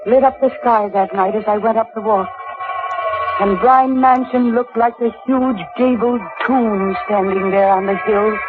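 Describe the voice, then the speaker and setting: A woman's voice: on the high side, lightly acted. An actress playing a haunted, bitter young woman in a 1940s radio drama, narrating with brooding, dramatic intensity. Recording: dull old radio recording with music.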